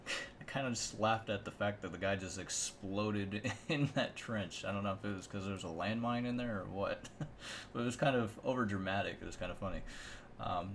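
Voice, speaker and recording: A man's voice: lowish voice, fairly bright tone, a little hiss or hum. A man hosting a video game channel, rambling offhand through casual reaction commentary.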